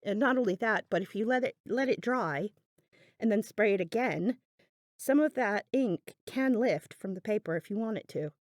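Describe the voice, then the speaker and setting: A woman's voice: mid-range voice, expressive, normal speed, no room sound, clean studio sound. A woman giving a casual craft tutorial voiceover, chatty and thinking aloud as she goes. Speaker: craft channel host.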